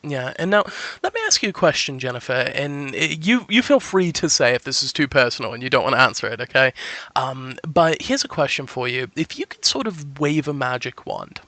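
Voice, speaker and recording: Bright-voiced man giving a psychic reading. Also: medium pitch, big pitch swings, brisk, dry recording. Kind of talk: conversational phone reading for an audience.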